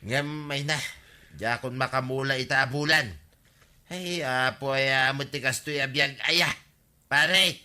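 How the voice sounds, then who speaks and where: A man's voice: mid-range voice, steady tone, a little slow, bright, forceful tone, clean tone. A man playing an aggrieved, complaining villager with an Ilocano accent in a radio comedy drama, speaking plaintively and emphatically.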